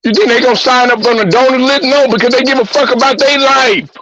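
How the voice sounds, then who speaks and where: A man's voice: very high-pitched, steady tone, quick pace, sharp tone, lo-fi sound, slight background noise. A man hosting a talk show, ranting bluntly and profanely with heated repetition. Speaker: host. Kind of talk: profane sports-talk rant.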